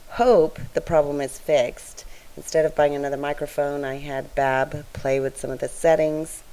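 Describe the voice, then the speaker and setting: Soft, raspy, low-voiced woman. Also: rough recording. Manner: soft-spoken, chatty, straining to be heard. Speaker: scrapbooking channel host. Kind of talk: casual voice-over narrating a craft process.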